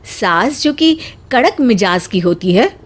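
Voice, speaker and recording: A woman narrator reading a scripted story in an expressive storytelling style. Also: fairly high pitch, takes it slowly.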